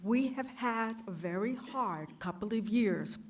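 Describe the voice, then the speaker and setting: A woman resident giving a gentle, sincere public comment at a town meeting. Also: mid-range voice, animated, measured pace, a little hiss or hum.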